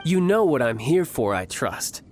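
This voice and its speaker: A man voicing a cocky young warrior in a video game, smooth-talking, smug and formally worded. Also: mid-range voice, sing-song, unhurried, noisy background. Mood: smug, mocking. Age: young adult. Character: cocky, theatrical young male warrior posing as toy salesman.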